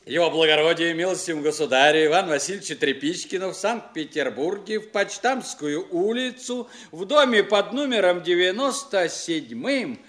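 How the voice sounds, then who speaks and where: An actor playing an eager provincial gentleman in a stage comedy, reading aloud in a declamatory, relishing way. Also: high-pitched, expressive, normal speed, few pauses. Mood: declaiming. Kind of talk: theatrical stage comedy acting.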